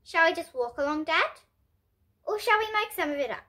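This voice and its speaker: Child playing a little storybook boy, high lively voice, eager, confident, imaginative. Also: moderate pace, full character voice. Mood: eager, playful.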